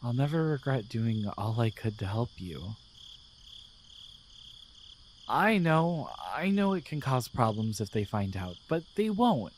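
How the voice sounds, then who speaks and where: A man playing a gentle, devoted guardian angel in a romantic ASMR roleplay, speaking in a tender, hesitant, reassuring way. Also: medium pitch, very animated, moderate pace, a little hiss or hum, close to an everyday voice. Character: gentle, devoted male guardian angel in love with his human.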